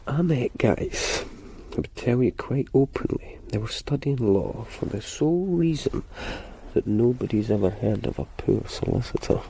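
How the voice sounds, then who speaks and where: An actor playing a dry, sardonic Scottish police detective in a radio drama. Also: male voice, expressive, normal speed, vocal fry, dark tone, a little hiss or hum. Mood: wry. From Scotland. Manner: dry and sardonic, low murmuring. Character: dry, cynical male Glasgow cop, middle-aged.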